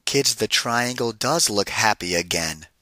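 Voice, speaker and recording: A man reading a picture book aloud to children as a teacher, deliberate and emphatic, addressing them directly. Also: on the low side, lively intonation, pushed and bright, no room sound, clean studio sound. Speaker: read-aloud narrator and teacher.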